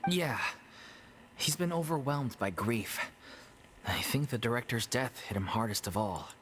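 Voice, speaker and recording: An actor as a gentle, concerned young man. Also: mid-range voice, animated, unhurried, background noise, natural voice. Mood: sympathetic. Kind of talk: video game voice acting.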